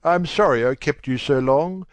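An actor playing a wealthy young gentleman who is polite, offhand and a little weary, in an audiobook drama. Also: fairly high pitch, normal speed, dry recording, natural voice.

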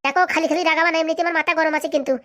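Give me an actor doing a very high-pitched, fast-talking cartoon village wife, bossy and sharp-tongued. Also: fairly even intonation, dull audio. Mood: scolding. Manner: scolding, sharp-tongued, dismissive. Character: bossy, scolding middle-aged village wife and mother. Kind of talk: comedy cartoon voice acting.

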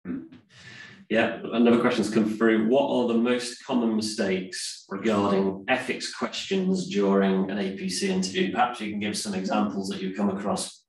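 A man moderates a webinar Q&A, reading out questions with hesitant fillers. His voice is low and flat. He sits away from the microphone, so the video-call audio sounds roomy and distant. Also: moderate pace.

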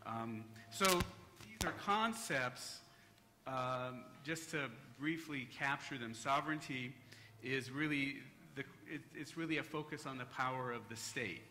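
Bright-voiced man giving a conference talk. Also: medium pitch, unhurried, slight background noise. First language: English. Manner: relaxed, joking, with frequent fillers. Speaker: conference speaker. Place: hotel conference hall. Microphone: podium microphone.

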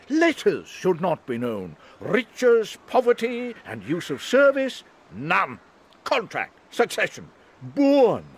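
A man's voice: extremely high pitch, fairly bright tone, heavily acted. A man playing a kindly, garrulous old courtier in a Shakespearean audio play, optimistic and hesitant. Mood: earnest.

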